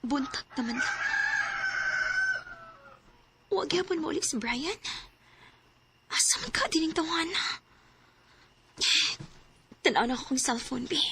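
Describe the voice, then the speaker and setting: Woman playing a high-voiced, plaintive young wife. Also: animated, a little slow, pauses often, pushed and bright, heavily acted. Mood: weary, anxious. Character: long-suffering, pleading young wife and mother. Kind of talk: Filipino radio drama acting with narration.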